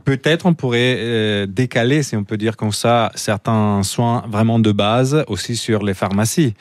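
A man's voice: lowish voice, measured pace, no echo, studio quality. A man offering suggestions tentatively as a panelist in a radio debate. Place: radio studio.

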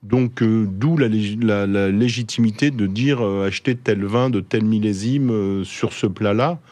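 Low-voiced chef, dry studio sound. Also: male voice, measured pace, no room sound. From Ardèche, France. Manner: relaxed, hesitant, restarts phrases. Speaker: chef, guest. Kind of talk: casual interview. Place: radio studio. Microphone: studio mic.

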